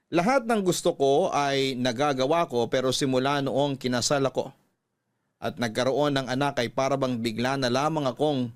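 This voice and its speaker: A man narrating as a radio host, reading a personal letter aloud as a story in a measured, sincere manner. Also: mid-range voice. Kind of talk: dramatic reading of a listener's letter.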